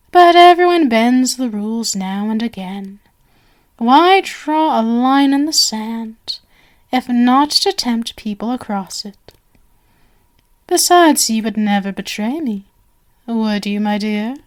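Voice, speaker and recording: A woman playing a genial but sinister stage magician in an ASMR roleplay, with flowery, theatrical, coaxing speech. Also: a little high. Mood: quietly menacing.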